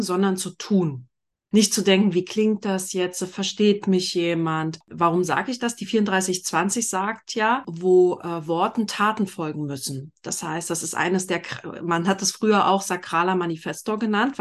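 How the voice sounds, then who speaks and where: A woman leading a group discussion in a slow, deliberate way, her phrases often trailing off softly. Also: fairly low pitch. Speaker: workshop facilitator.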